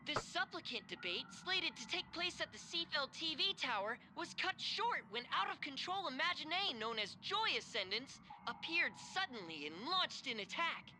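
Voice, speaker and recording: A woman's voice: high-pitched, big pitch swings, normal speed, few pauses, bright, forceful tone, slight background noise, heavily acted. A woman voicing a chirpy cartoon duck who hosts a news segment in a peppy game-dialogue style. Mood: bright, formal. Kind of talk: scripted news presenting.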